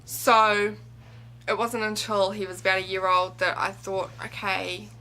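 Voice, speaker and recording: A woman in her twenties speaking reflectively and haltingly, confiding in a personal vlog monologue. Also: medium pitch, flat delivery, bright, forceful tone, rough recording. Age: 20s. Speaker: video blogger.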